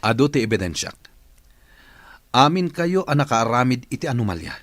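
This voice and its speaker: A man's voice: medium pitch, sing-song, moderate pace, a bit bright, dry recording. A man acting a stern, accusing male boss in an Ilocano radio drama. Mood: angry, accusing.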